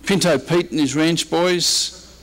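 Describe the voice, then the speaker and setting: A man's voice: a little high, steady tone, slow pace, a bit bright, rough recording. An Australian man presenting as a historian in an informal slide-show talk, casual and dryly humorous. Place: convention presentation room, speaking at a microphone.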